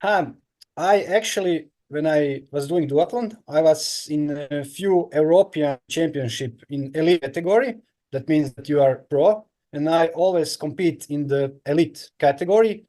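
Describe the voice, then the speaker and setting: Croatian guy in his 30s, kinda high voice, halting english with lots of uhs. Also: mellow. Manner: halting non-native English with many fillers. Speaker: guest, professional triathlete. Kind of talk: casual interview answers.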